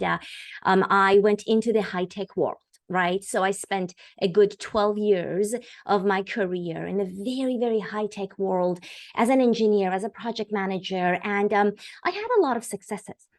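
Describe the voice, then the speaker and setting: A middle-aged woman from Iran speaking as a podcast guest. She tells her story eagerly, with frequent fillers and a conversational flow. Her voice sits at a medium pitch. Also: steady tone, normal speed, vocal fry. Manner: warm, enthusiastic storytelling with fillers. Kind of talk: podcast interview.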